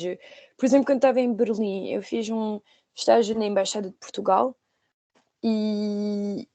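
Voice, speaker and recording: Mellow young woman, hesitant podcast guest. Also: a little high, no room sound. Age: 20s. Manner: hesitant, searching for words with repetitions. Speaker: podcast guest, former trainee. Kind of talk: conversational interview answers.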